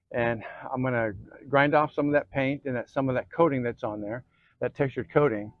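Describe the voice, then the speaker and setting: An American man giving a casual, folksy how-to, often checking in with a quick okay or all right. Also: medium pitch, no room sound, phone-quality sound. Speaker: host of an off-road vehicle DIY channel. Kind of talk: casual how-to chat to camera.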